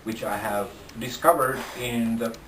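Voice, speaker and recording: Slow-talking Finnish man, distant mic. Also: mid-range voice, fairly bright tone, a little hiss or hum. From Finland. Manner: slow, halting, with repeated restarts. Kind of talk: casual interview talk. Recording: roomy sound from a distant mic.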